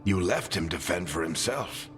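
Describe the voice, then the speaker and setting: An actor playing a weathered veteran adventurer in a video game, a wry, folksy and reassuring mentor. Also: male voice, low-pitched, expressive, moderate pace, a bit bright. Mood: encouraging. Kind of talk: video game dialogue acting. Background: background music.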